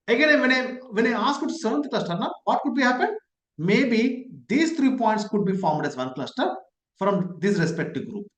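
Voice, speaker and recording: An instructor with an Indian English accent, teaching an online class over a laptop mic on a video call. The voice sits at an ordinary middle pitch and keeps throwing out rhetorical questions to the students. The office room gives it a noticeable echo. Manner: repeats key points. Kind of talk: online lecture. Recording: echoey room.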